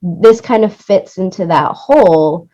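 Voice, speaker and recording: A woman's voice: lowish voice, lively intonation, measured pace, warm tone, dull audio. A woman in her late thirties talking casually in an interview, emphatic and repeating her points for stress. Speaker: interview guest, music supervisor and producer.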